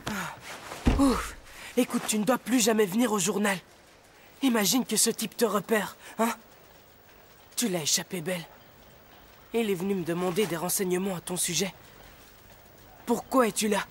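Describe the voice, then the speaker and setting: An actor playing a brave, protective young newspaper editor in a French cartoon, direct and earnest. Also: male voice, sits high, normal speed, long pauses, slight background noise, close to an everyday voice. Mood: concerned, protective. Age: young adult. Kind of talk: French animated drama acting.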